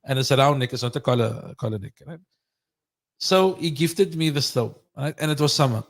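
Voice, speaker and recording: A man's voice: medium pitch, fairly quick. A man hosting a podcast, telling a story with energy and acting out the lines of the people in it. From not Egyptian. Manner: animated storyteller, acts out quoted lines. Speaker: podcast host. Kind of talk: casual conversation.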